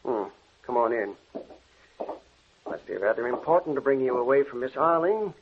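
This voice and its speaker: An actor playing a calm, genial scientist in a 1940s radio drama, speaking in a probing, paternal way. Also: male voice, medium pitch, moderate pace, lightly acted. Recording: muffled old broadcast with faint hiss.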